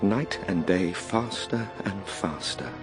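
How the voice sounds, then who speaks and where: A man's voice: sits low, expressive, takes it slowly, music in the background. An actor playing an earnest Victorian inventor recounting his travels in precise, formal radio drama style. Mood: awed, urgent.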